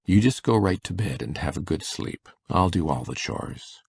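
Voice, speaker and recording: A male actor plays a shy, kindly old farmer for a dramatic reading. His voice is extremely low, crackling with gravel and husky. He speaks in a gentle, folksy, understated way. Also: lively intonation, normal speed, exaggerated voice. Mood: gentle, reassuring.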